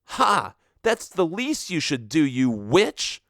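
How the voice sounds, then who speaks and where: A man's voice: on the high side, wildly swooping pitch, slow pace, fairly bright tone, no echo, clean studio sound, pitched above the performer's own voice, full character voice. A man reading a story aloud, voicing a vain, scornful teenage girl who mocks and accuses in a raised voice. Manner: mocking, accusing.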